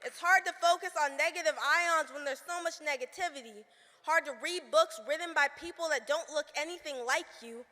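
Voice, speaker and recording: A teenage young woman performing spoken word poetry with emphatic, deliberate delivery. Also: high-pitched, pushed and bright. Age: teens. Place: live stage performance in a hall. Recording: reverberant hall.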